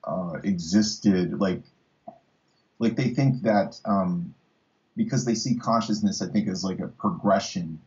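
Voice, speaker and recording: Man, thirties, deep pitch, casual interviewer, hesitant word-searching delivery, muffled lo-fi sound. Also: a little mellow. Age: 30s. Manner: halting, searching for words. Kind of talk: casual philosophical conversation.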